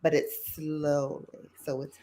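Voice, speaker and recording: A woman hosting a casual chat who laughs often and jumps in quickly. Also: sits low, flat delivery, mellow. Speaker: host.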